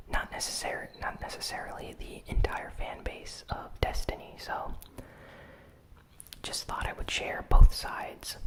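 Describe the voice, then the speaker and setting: A host whispering a weekly gaming news recap in ASMR style, soft and unhurried with frequent "um" fillers. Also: low-quality recording. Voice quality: fully whispered.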